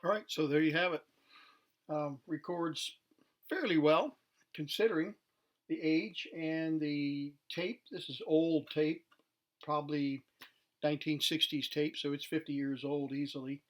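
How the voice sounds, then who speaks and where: A man with a voice a little higher than average gives a casual, unscripted demonstration. He explains things plainly and somewhat hesitantly, as a hobbyist presenter showing equipment would. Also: steady tone, unhurried. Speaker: vintage tech demonstrator.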